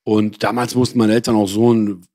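A man's voice: on the low side, little pitch movement, fairly quick, mellow, dry recording, studio quality. A man born in Iran who grew up in Germany, talking casually and personally as an interview guest, in slangy, colloquial language with digressions and self-corrections.

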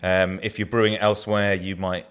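A man with a southern English accent answers interview questions about his work as a brewer. His voice sits low, and he rambles, restarting his sentences often and filling the gaps with ums and you-knows. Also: flat delivery, brisk, fairly bright tone, smooth. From southern England. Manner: rambling, many restarts and fillers.

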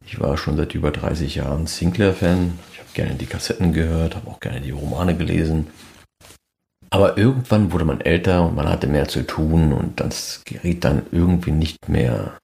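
A middle-aged man presents a fan podcast as a solo monologue. His voice sits very low and has a strong fry to it, like someone speaking from deep in his register. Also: moderate pace, warm tone. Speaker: podcast host.

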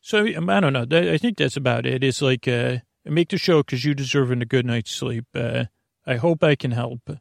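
A man hosting a sleep podcast in a gravelly voice, with a meandering, stammering, reassuring bedtime monologue that keeps losing its thread. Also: mid-range voice, moderate pace, no room sound, clean studio sound. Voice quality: creaky, gravelly.

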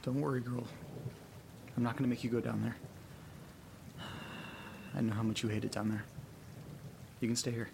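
An actor playing an anxious young man alone in a creepy house, talking haltingly to himself in a horror audio drama. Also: medium pitch, level tone, creaky, noisy background, natural voice. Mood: gently reassuring. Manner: halting, muttering to himself, swearing. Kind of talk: horror audio drama, first-person monologue.

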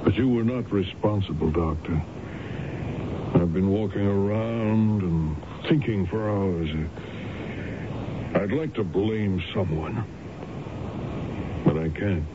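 Radio drama husband: lowish voice, unhurried, solemn yet gentle; noisy vintage broadcast. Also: male voice, dull audio. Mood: grieving, resigned. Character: grave, devoted husband, measured and gentle. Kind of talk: old-time radio drama acting.

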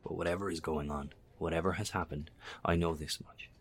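A male actor plays a grave professor in a horror audio drama. His voice is very deep with little melodic movement. He speaks formally, as if imploring survivors to take heed. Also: fairly even intonation, brisk, breathy edge.

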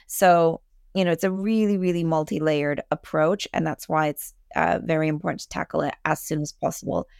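A woman gives expert commentary in a conversation, earnest and emphatic. Her voice is on the low side with plenty of vocal fry, recorded dry, and her wording is articulate. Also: fairly even intonation, a little fast, darkish tone, no room sound. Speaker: fintech industry expert guest.

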